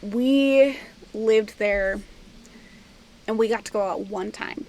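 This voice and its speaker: A young woman in her twenties recounts personal stories in a relaxed, animated way, often breaking into laughter. Her voice sits at an ordinary middle pitch. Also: slow, poor audio. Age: 20s. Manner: animated, laughs often, tells long anecdotes. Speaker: podcast co-host. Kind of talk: casual storytelling.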